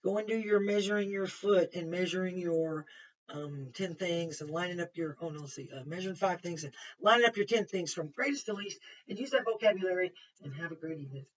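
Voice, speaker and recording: A woman's voice: fairly low pitch, brisk, slight background noise. A woman kindergarten teacher reading a picture book aloud to young children, with simple explanations and chatty asides. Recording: muffled, distant microphone.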